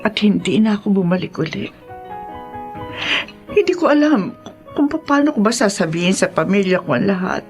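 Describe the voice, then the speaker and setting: A woman's voice: medium pitch, lively intonation, moderate pace, a little mellow, faint music behind, lightly acted. A woman with a Filipino accent acting a well-off, emotional middle-aged mother in a Tagalog radio drama. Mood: confessional, sorrowful.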